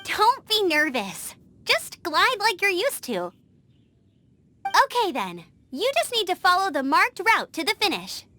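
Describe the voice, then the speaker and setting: A woman's voice: very high voice, wildly swooping pitch, unhurried, pushed and bright, heavily acted. An actress playing a cheerful young female outrider who talks in an upbeat, encouraging and slightly flustered way, with game music behind her. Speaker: outrider and gliding instructor.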